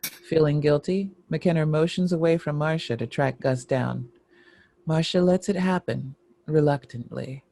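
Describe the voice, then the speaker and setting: A man's voice: fairly high pitch, unhurried, vocal fry, dark tone. A man giving scripted narration, reading a screenplay's stage directions.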